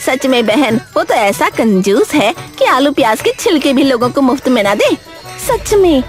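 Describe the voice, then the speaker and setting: A woman's voice: sits high, big pitch swings, moderate pace, faint music behind, voice pushed up. A woman voicing a gossipy village shopper in a comic cartoon, mocking and exclaiming dramatically.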